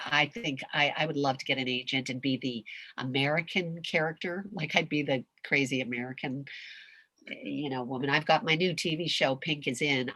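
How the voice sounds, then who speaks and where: This Canadian woman has a notably low voice and talks in an animated, rambling way, often restarting her sentences. She is a voice actress answering questions over a video call. Her audio is close and dry. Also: no echo, rough recording. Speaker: voice actress guest. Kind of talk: casual chat in a video call Q&A.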